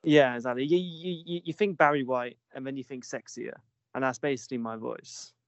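A man's voice: medium pitch, steady tone, fairly quick, no echo. A young man hosting a podcast in casual chat, jokey and self-mocking, often stumbling and restarting his words. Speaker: podcast host.

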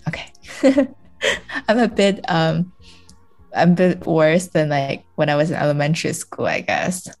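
Female podcast guest, native Mandarin speaker, lower register, informal and self-deprecating book talk. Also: expressive, a little slow, darkish tone, faint music behind. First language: Mandarin Chinese. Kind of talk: casual book discussion.

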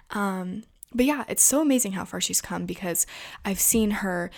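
A young woman with a raspy voice today, chatting casually to her viewers about influencer news and peppering her talk with "like" and "you know". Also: medium pitch, animated, takes it slowly, a little mellow. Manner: chatty, filler-heavy, frequent "like" and "you know". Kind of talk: casual gossip commentary to viewers.

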